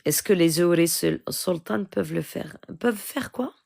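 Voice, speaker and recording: Moroccan lady on a live stream, lowish voice with lots of fry, keeps asking d'accord?. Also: normal speed, a little mellow. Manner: code-switching, frequent "euh" and "d'accord?" asides. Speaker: spiritual healer hosting a live stream. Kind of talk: live stream Q&A, advice to viewers.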